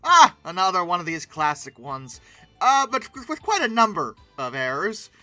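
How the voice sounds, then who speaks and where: Animated, bright-voiced commentator. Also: mid-range voice, moderate pace, no room sound, soft background music. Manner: theatrical, with mock-dramatic emphasis. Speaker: host of a paleoart commentary channel. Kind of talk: casual off-the-cuff commentary on pictures.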